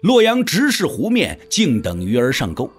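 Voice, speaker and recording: A man with a husky voice giving dramatic narration for a wuxia audio drama. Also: mid-range voice, wildly swooping pitch, normal speed, soft background music.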